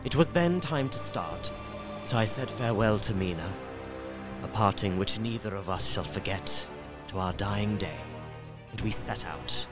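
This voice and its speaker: A man's voice: lowish voice, lively intonation, measured pace, over music. A man narrating a gothic drama in the first person, earnest and heartfelt. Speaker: narrator and young husband character.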